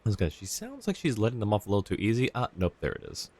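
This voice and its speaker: A man's voice: on the low side, wildly swooping pitch, rapid-fire, background noise. A man doing casual live game commentary as a streamer, reacting to the story as it plays.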